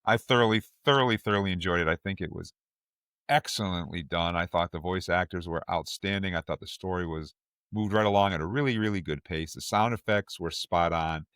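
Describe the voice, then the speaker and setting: A man's voice: deep voice, brisk, fairly bright tone, clean tone, no echo, studio quality. A man hosting a podcast, talking casually as an enthusiastic fan and repeating words for emphasis. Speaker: podcast host.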